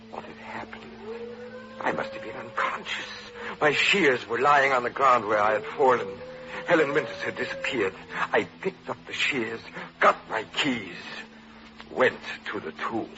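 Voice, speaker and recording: An actor playing a haunted, obsessive middle-aged murderer in an old radio horror play, halting and breathless in delivery. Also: male voice, medium pitch, noisy background, natural voice. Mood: haunted, feverish. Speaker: first-person narrator and lead actor. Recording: muffled vintage radio recording, loud organ music.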